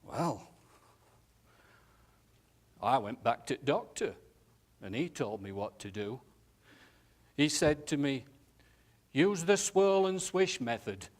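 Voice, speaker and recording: A man voicing an elderly widowed nursing home resident who talks slowly, haltingly and tenderly, often saying "aye". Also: mid-range voice, lively intonation. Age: older. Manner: slow, halting, chuckling, says "aye" often.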